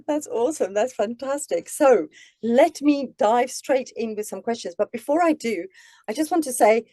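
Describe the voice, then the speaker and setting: A woman's voice: fairly high pitch, expressive, normal speed, mellow, dry recording. A woman from Namibia hosting a video-call author interview, encouraging and enthusiastic as she asks her questions. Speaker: host interviewer.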